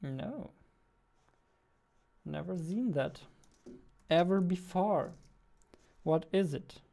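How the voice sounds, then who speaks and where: A man's voice: high voice, drawn-out words, creaky. Playful young man streaming his game. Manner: playful, excitable, talks back to the chat. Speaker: game developer streaming his daily devlog. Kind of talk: casual live-stream chat while playing. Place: small dark room indoors. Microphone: studio mic on a boom arm, wearing headphones.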